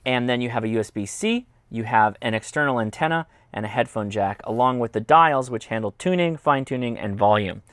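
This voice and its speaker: A man's voice: medium pitch, lively intonation, a little fast, few pauses, fairly bright tone, dry recording. An American man in his thirties giving a casual, explanatory product review and demonstration. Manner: informal, explanatory. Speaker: host, radio reviewer. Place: indoors, home radio studio and workbench.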